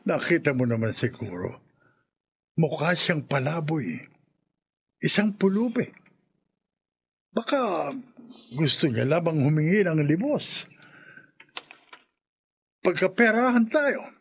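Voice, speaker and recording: Radio drama: a doubting husband, gravelly, husky, slightly high. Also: male voice, big pitch swings, takes it slowly, long pauses, full character voice. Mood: skeptical. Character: skeptical father, gruff husband.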